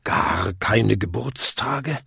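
A man voicing a huge, haughty old bell in a storybook, with a booming, rumbling, condescending delivery. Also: animated, slow, gravelly, slightly rough, no room sound, studio quality, heavily acted. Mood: indignant, haughty. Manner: pompous, condescending. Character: biggest old bronze bell, haughty, indignant, booming matriarch.

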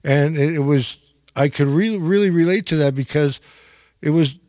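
A man's voice: a little high, moderate pace, darkish tone, dry recording, studio quality. A man near seventy hosting an interview, chummy and enthusiastic, often exclaiming in surprise. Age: older. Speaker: interviewer host. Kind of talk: casual interview chat.

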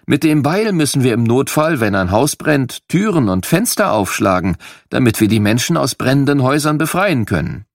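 A man's voice: mid-range voice, very animated, normal speed, no room sound, clean studio sound. A man playing a serious, careful male firefighter who earnestly instructs children about rescue tools in an audio story. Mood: cautioning, earnest.

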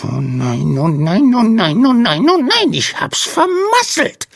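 A man voicing a tiny, anxious male sprite in a children's bedtime story, with a squeaky, piping voice and a whiny, sniffling manner. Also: very animated, slow, creaky, no room sound, clean studio sound, heavily acted. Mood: panicked, wailing.

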